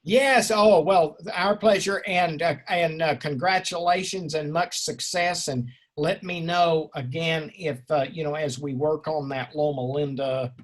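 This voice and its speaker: Husky, effusive male seminar instructor. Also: a little high, fairly even intonation, unhurried, hardly any pauses. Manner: effusive, erudite, rambling, jokey. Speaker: instructor leading an online class session. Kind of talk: informal seminar discussion.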